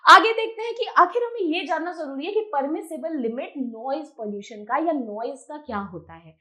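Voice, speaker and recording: An Indian woman with a high-pitched voice teaches as if to a class. She explains point by point in an emphatic, conversational manner, often asking a question and then answering it herself. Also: a bit bright. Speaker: teacher, exam coaching presenter. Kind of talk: explanatory lecture mixing Hindi and English.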